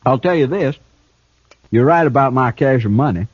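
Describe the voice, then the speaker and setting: An actor playing a cool, smart escaped killer and thief in a 1950s radio drama, talking with a smooth, taunting ease. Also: male voice, medium pitch, expressive, moderate pace, darkish tone, no room sound, natural voice. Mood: smug, taunting. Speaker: outlaw prisoner. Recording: dull old radio broadcast recording.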